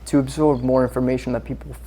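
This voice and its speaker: A man's voice: medium pitch, little pitch movement, very fast, warm tone, a little hiss or hum. Earnest rambling young man, interview guest. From Singapore. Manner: earnest, rambling, with many fillers. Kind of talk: casual interview chat.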